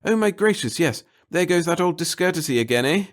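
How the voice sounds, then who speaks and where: Fussy little male clerk, acted by a man, obsequious and pompous, pitched up high, sci-fi audiobook character with florid, babbling delivery. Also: animated, fairly quick, natural voice. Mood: flustered, eager.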